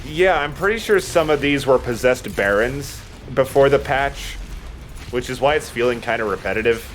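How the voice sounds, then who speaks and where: A man's voice: mid-range voice, lively intonation, normal speed, slight background noise. A man giving casual, rambling gaming commentary as a let's play host, sometimes swearing.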